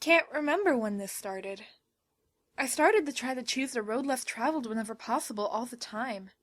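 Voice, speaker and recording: High-pitched headstrong schoolgirl, acted by a woman. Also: lively intonation, normal speed, a bit bright, dry recording. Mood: subdued, reflective. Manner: commanding, brash. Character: bossy, headstrong, sharp-tongued teenage schoolgirl leader. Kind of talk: audio drama acting.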